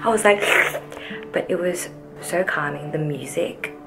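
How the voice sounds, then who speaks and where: A woman's voice: deep voice, unhurried, gravelly, music in the background. Chatty young Australian woman vlogger. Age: young adult. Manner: chatty, expressive, enthusiastic, uses "like" often. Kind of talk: casual chat to camera. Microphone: camera at arm's length.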